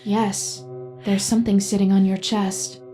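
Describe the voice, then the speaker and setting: A woman playing a composed, analytical AI companion who narrates an audio drama in formal, precise, measured speech. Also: medium pitch, flat delivery, warm tone, soft background music, close to an everyday voice. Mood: calm, analytical. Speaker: narrator and in-character host.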